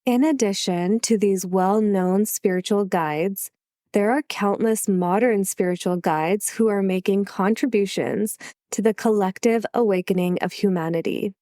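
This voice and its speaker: A woman hosting a solo podcast who is a little sick, reading her script in a calm, measured way. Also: mid-range voice, no room sound, clean studio sound. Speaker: podcast host.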